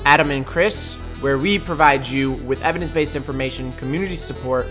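A man's voice: medium pitch, a little fast, music in the background, poor audio. A man co-hosting a fitness podcast, asking questions in a casual, upbeat way. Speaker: podcast co-host.

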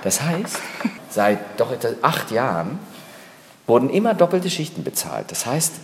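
A man's voice: mid-range voice, sing-song, moderate pace. A man giving a detailed, step-by-step explanation on location, like a backstage guide. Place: large reverberant space inside a theatre. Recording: very echoey hall, clear background noise.

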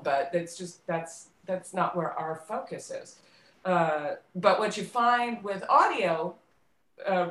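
A woman's voice: fairly low pitch, fairly bright tone. A woman speaking conversationally as an interview guest who directs and produces theater, stressing points by repeating words. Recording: roomy, echoing sound.

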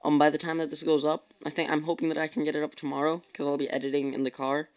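A tired young man hosts his own casual podcast, rambling along and correcting himself as he goes. His voice sits a little high for a man and comes through smooth and clear. It is relaxed solo chat aimed straight at his listeners. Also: fast talker. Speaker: podcast host.

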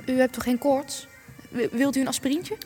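A woman's voice: high voice, steady tone, normal speed, dark tone, faint music behind, lightly acted. An actress playing a polite, solicitous woman who looks after a guest in a radio drama, courteous in manner. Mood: concerned. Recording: long hall reverb.

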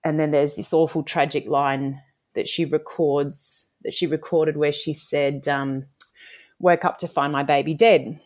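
Low-voiced author from Australia. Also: female voice, steady tone, a little slow, a little mellow. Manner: thoughtful, meandering, many fillers and restarts. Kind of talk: interview answers.

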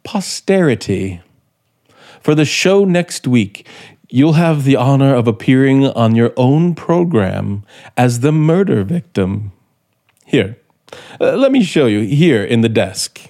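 Man acting a bitter scriptwriter, slow and theatrical. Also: medium pitch, big pitch swings, warm tone. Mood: coldly mocking. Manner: theatrical, sardonic, deliberate. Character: bitter, vengeful male radio scriptwriter turned would-be murderer. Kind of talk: radio drama acting.

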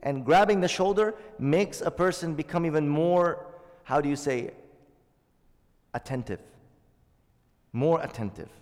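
A man's voice: on the high side, a little slow, long pauses. Man teaching in an echoing hall. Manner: explains Arabic terms, asks the audience questions. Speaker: Islamic teacher. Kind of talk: hadith lesson to a congregation.

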